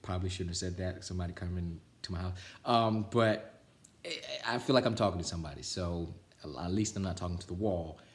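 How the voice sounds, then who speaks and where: A man's voice: sits low, fairly quick. A man reading a children's storybook aloud and chatting casually with viewers. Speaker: storybook reader. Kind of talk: animated read-aloud and casual sign-off. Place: indoors at home. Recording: roomy indoor echo.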